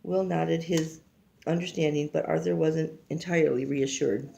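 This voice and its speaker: An older woman reads a picture book aloud like a grandmother, haltingly, stumbling over words and adding casual asides. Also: low voice, steady tone, vocal fry, noisy background. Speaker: grandmother reading a storybook aloud.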